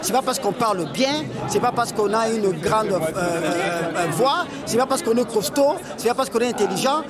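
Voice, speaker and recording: A man giving measured remarks at a community event, hesitating often with fillers as he speaks. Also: very high voice, over loud music. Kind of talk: earnest public remarks. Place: outdoors at a crowded event. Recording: outdoor event with loud crowd. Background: loud crowd chatter.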